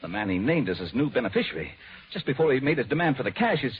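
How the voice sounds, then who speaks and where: An actor playing a fussy, anxious insurance manager in an old-time radio drama, speaking in a formal, exasperated way. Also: male voice, mid-range voice, very animated, quick pace, natural voice. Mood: exasperated, grave. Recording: dull vintage radio recording.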